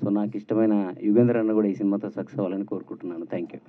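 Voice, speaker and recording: A man's voice: lowish voice, steady tone, rapid-fire. A man giving a well-wishing guest speech at a film launch. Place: film launch event, at a microphone. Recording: distant, phone-like tinny PA sound.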